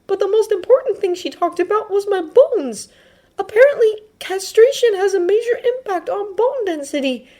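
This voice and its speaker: Woman putting on a high, anxious teenage boy. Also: animated, dark tone. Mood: embarrassed, earnest. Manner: halting, hesitant, emphatic. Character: anxious, earnest teenage boy, fretful and stammering. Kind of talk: audiobook character voice.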